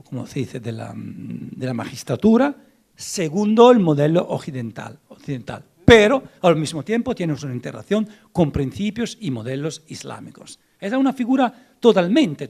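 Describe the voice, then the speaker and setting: A man with an Italian accent giving an academic lecture on law, searching for words, often repeating himself and restarting, with bursts of animated emphasis. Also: on the high side, creaky. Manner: animated, rising emphasis on key points.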